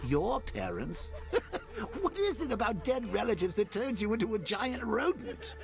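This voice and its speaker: An actor playing a gleeful, sadistic male villain in a video game, taunting in a drawn-out, creaky voice. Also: high-pitched, huge pitch swings, over music, heavily acted. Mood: gleeful mockery.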